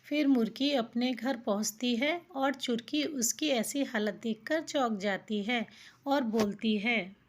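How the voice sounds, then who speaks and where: A woman's voice: fairly high pitch, takes it slowly. A woman narrating a children's folk tale as a scripted story.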